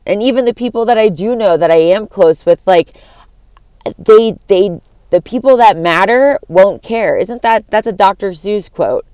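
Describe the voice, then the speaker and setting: A young woman from Florida with a deep voice that cracks at times, musing on a casual solo podcast in a rambling, profane way full of fillers. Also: a little slow, darkish tone, clear, resonant tone, no room sound. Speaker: podcast host.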